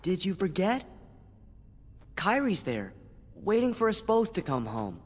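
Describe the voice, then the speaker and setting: Earnest, high-pitched teenage hero. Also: male voice, wildly swooping pitch, a little slow, big-room sound, exaggerated voice. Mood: insistent pleading. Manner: earnest, pleading. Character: earnest, stubborn, loyal teenage boy hero. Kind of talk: video game cutscene acting.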